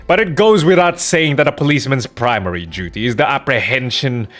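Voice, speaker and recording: A formal, pompous Victorian courtroom official, acted out by a man, holds forth in a video game. His delivery is wordy, with lively movement in pitch. Soft music sits in the background. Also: brisk, close to an everyday voice. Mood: formal, grandiose.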